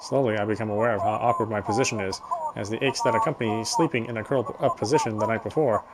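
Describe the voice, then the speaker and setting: A man reading a visual novel's text aloud as dramatic narration, solemn and a little halting. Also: lowish voice, steady tone, heavy background noise. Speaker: game player reading story text aloud.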